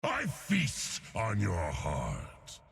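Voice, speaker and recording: An actor voicing a huge, savage, ancient bear demigod for a video game, gravelly and booming, with a subtle doubled echo effect. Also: male voice, huge pitch swings, very slow, a bit bright, full character voice. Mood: savage, solemn. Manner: menacing, solemn proclamations. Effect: subtle echo and chorus doubling. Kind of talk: video game character voice lines.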